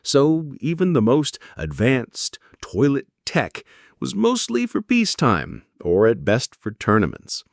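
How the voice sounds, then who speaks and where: A man narrating scripted history in a measured storytelling way, with dramatic pauses and wry asides. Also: mid-range voice, wildly swooping pitch, gravelly, no room sound, studio quality.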